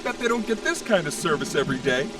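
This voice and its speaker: An actor playing a cheerful, cocky young racing plane in an animated video game. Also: male voice, on the high side, quick pace, heavy background noise. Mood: pleased, jaunty. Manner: upbeat, confident. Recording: distant voice under loud game music. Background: loud music, engine and vehicle sounds.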